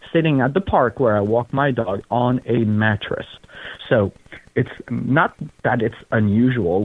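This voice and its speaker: Lower-voiced man with a French accent. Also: dry recording. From Belgium. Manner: earnest, unhurried storytelling with pauses. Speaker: guest, charity founder. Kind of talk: interview conversation. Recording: tinny phone-like line.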